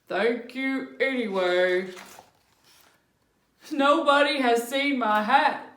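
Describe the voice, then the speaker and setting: Sad, courteous bear for a children's storybook, acted by a woman; high voice, dragging pace, roomy sound. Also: fairly bright tone. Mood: polite, patient. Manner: slow, plodding, polite delivery. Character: polite, slow, sad male bear searching for hat. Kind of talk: picture book read aloud with feeling. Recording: echoey room.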